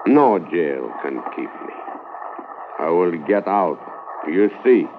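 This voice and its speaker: Bitter prisoner, low voice, slow clipped speech, 1950s radio drama, tinny recording. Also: male voice, sing-song, full character voice. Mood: defiant. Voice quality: clipped broken English. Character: defiant proud horse thief prisoner, bitter. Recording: tinny old radio transcription, hiss.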